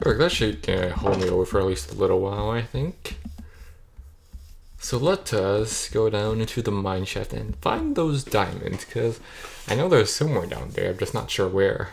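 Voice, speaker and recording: A man's voice: on the low side, lively intonation, moderate pace, creaky, a little hiss or hum. A young man rambling to himself as he plays, his voice cracking and a bit off. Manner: rambling, self-correcting, talks to himself. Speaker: gamer streaming a play session. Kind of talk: casual thinking-aloud gameplay commentary.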